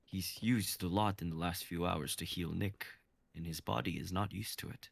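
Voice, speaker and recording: Deep gravelly-voiced young man narrating. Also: normal speed. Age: 20s. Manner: even stage-direction narration. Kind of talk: live dramatic script reading.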